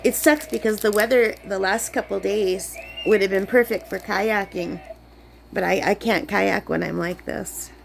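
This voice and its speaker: Woman vlogger, under the weather, rambling chat, gravelly fry. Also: mid-range voice, steady tone, unhurried, slight background noise, rough recording. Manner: chatty, rambling, many fillers. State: sick, voice lower than usual. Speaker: crafting vlogger. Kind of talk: casual chat while crafting. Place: camp cabin indoors.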